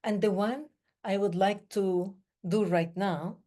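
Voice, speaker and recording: A woman born in the Middle East, giving a reflective talk on breathing in slow, measured phrases with pauses between them. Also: mid-range voice, fairly even intonation, dark tone. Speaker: breathing day speaker.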